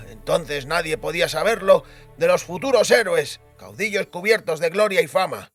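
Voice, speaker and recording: Elderly nobleman for audiobook drama, male actor, high-pitched, reminiscing storyteller, music underneath. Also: a bit bright. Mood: nostalgic. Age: older. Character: frail old duke fondly recalling his royal grandfather.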